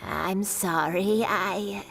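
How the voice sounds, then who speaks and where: A woman voicing a kindly, unhurried old granny shopkeeper in a video game. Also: mid-range voice, fairly even intonation, creaky, fairly bright tone, soft background music, heavily acted. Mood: hesitant, businesslike.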